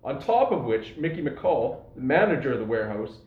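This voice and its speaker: A man's voice: medium pitch, big pitch swings, a little fast, reverberant, lo-fi sound, close to an everyday voice. A man playing a suspicious, easily bewildered police constable in a stage farce, questioning people formally and indignantly. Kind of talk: stage farce play reading.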